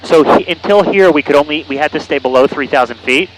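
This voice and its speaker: A man's voice: a little high, quick pace. Easygoing man talking over faint cockpit noise. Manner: easygoing, explanatory, joking. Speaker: private pilot and vlogger. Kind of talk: casual chat and radio calls while flying. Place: small airplane cockpit in flight. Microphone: aviation headset. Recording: cockpit intercom with engine noise.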